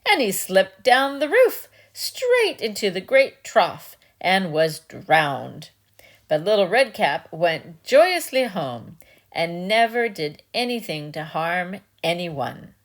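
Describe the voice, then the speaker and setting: Slow, expressive woman storyteller. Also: fairly low pitch. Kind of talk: dramatic scripted fairy tale narration.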